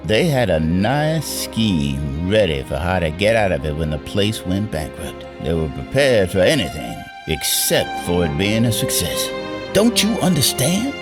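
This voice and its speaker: A man's voice: low voice, very animated, measured pace, music in the background. An actor playing a bitter, indignant man in a radio drama, with an impassioned, scornful manner. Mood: bitter disbelief. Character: bitter, indignant male friend with cynical intensity.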